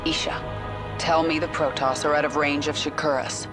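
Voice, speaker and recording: A woman's voice: low voice, steady tone, normal speed, over music, close to an everyday voice. An actress playing a hard, bitter and determined queen in a video game, with a terse, commanding delivery.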